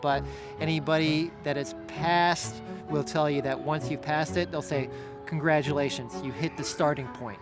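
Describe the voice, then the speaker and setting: A man's voice: a little high, fairly even intonation, moderate pace. Thoughtful American-born man over music. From United States. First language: English. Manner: thoughtful, hesitant with fillers. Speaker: interviewee. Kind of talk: reflective interview.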